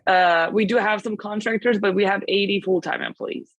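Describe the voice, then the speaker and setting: A woman whose first language is Serbian speaks as a podcast guest, her voice in a plain middle register for a woman. She talks fast and rambling, with lots of "like" and self-corrections. The recording is close and dry, with no room sound. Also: medium pitch, steady tone, dry recording, muffled recording. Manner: fast, rambling, many fillers and restarts. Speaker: guest, translation agency founder. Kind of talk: casual podcast interview.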